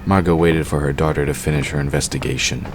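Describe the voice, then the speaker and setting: A man's voice: very deep voice, fairly even intonation, quick pace, noisy background. A man narrating a fantasy audio drama from a script.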